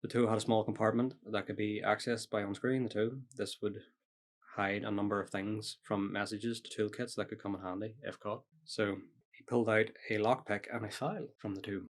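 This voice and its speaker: Male podcast storyteller, low-pitched, quick pace, casual delivery of prepared material. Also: level tone. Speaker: podcast host telling true-or-false stories.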